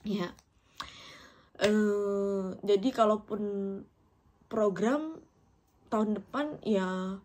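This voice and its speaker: A woman in her thirties from Indonesia speaks straight to her viewers in a personal vlog. Her voice sits at an ordinary middle pitch. She talks in an open, emotional way and laughs often as she speaks. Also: a bit bright. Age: 30s. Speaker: vlogger.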